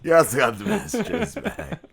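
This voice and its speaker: A man's voice: on the high side, big pitch swings, normal speed, gravelly, a bit bright. A man co-hosting a talk show, giving casual hype reactions to his guests.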